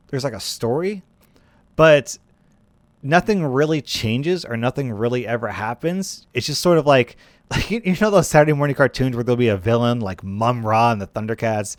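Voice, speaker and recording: A man's voice: medium pitch, no echo. A man hosting a gaming video with casual, conversational commentary, often repeating phrases for emphasis.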